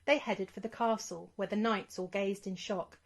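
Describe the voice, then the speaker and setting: A woman reading a rhyming children's storybook as scripted narration, in an expressive storytelling manner. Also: mid-range voice, normal speed.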